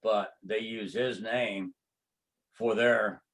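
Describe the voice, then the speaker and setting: An older man with a Texas accent, a martial arts grandmaster recalling his past in an interview, telling it slowly with many fillers and pauses. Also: on the low side, steady tone, a bit bright. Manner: slow, halting storytelling with many fillers. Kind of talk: reminiscing interview.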